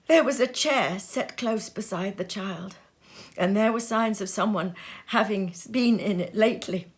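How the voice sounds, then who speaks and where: A woman narrating a storybook aloud with expressive, heartfelt delivery and a mid-pitched voice. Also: a little slow. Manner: expressive, feeling. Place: sitting room.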